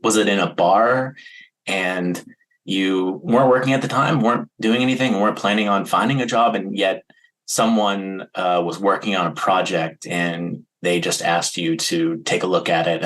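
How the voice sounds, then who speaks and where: A man's voice: low-pitched, a bit bright, poor audio. A man hosting an interview, curious and recapping his guest's book. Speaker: interviewer host. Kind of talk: conversational interview.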